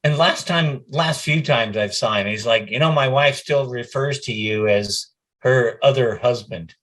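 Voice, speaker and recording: Slow-paced man chatting casually in an interview. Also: medium pitch, a bit bright. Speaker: voice actor, interview guest.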